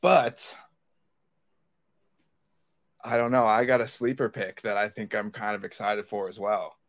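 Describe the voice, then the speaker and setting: A man's voice: on the low side, little pitch movement, a little slow. A man chatting casually and informally as a podcast panelist, swearing freely. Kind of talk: casual chat.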